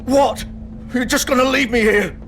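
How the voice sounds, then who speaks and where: An actor playing a wounded, dying crook in a video game, strained, sardonic, profane and goading. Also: male voice, extremely high pitch, normal speed, a bit bright. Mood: desperate rage. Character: bitter, dying male crook, defiant and goading. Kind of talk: dramatic video game acting. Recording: music under the voice. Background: dramatic music.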